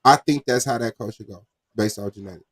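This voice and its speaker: A man's voice: fairly low pitch, quick pace, breathy edge. A man hosting a casual debate podcast, arguing his point insistently in slangy talk.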